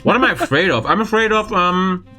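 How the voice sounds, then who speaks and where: Lively bright-voiced man in his twenties. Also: sits high, brisk, faint music behind. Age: 20s. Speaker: podcast host and streamer. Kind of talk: casual podcast chat.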